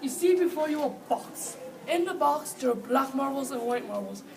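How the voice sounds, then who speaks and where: A female reader playing a proud king who runs a contest, reading the script haltingly. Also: sits high, lively intonation, noisy background. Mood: commanding. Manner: halting reading with repeated words. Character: proud male king running a contest of wits. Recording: distant mic, audience chatter.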